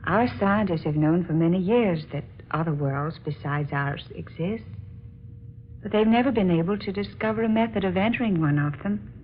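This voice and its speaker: Actress as a low-voiced, courtly young princess. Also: female voice, normal speed, close to an everyday voice. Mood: calm, intrigued. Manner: formal, courtly diction. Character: gracious, earnest young princess of another world. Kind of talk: radio drama acting. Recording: muffled, noisy old-time radio recording.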